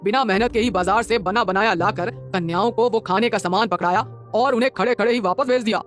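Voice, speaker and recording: Woman doing a male holy man, kindly, solemn, mid pitch, measured cartoon dialogue, background music. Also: close to an everyday voice. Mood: gently reproving. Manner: benevolent, measured, blessing. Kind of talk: Hindi cartoon moral-story dialogue.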